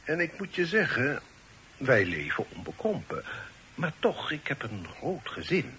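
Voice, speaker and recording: A man acting in a Dutch radio play as a worldly, authoritative middle-aged father, speaking in a measured, formal way. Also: mid-range voice, sing-song, background noise. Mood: businesslike, firm. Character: authoritative, worldly father advising his grown son. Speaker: radio drama actor.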